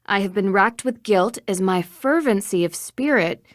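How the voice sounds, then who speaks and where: A woman's voice: medium pitch, expressive, normal speed, dry recording, lightly acted. A young woman's mid-range voice in a clean, dry studio sound, an actress as an amateur sleuth in an adventure game. She is curious and self-assured, with a dry, questioning delivery. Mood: thoughtful. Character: curious, confident young female amateur detective. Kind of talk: video game dialogue.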